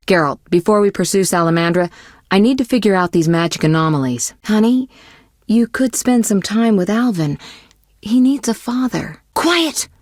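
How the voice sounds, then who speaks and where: A woman playing a poised, worldly young sorceress in a video game, caring but teasing and possessive, with measured, articulate delivery. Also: lowish voice, dry recording, studio quality. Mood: scolding, insistent. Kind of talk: scripted video game voice acting.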